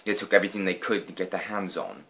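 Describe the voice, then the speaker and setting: Low-voiced man, theatrical storybook reader. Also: quick pace, vocal fry, sharp tone. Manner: mock-solemn, exaggerated storyteller delivery. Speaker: reader and host of a home reading show. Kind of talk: theatrical dramatic storybook reading.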